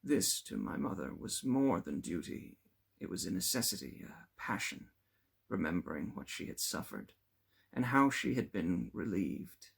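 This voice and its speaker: A man reading a classic novel aloud as scripted narration, in a measured, expressive way with a gravelly, husky voice. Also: deep voice. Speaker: audiobook reader.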